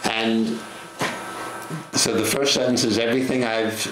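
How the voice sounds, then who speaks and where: Older male storyteller, low-pitched, halting delivery, echoey noisy studio sound. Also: fairly even intonation, a bit bright. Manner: halting, repeats words. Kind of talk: personal storytelling in conversation. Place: indoor TV studio. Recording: roomy echo, clear background noise.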